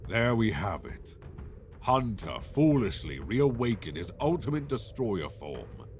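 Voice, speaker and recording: A menacing dragon lord with a deep voice. He declaims slowly and imperiously, swinging in pitch, over faint music. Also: very animated, darkish tone. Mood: stern, menacing. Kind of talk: fantasy audio roleplay acting.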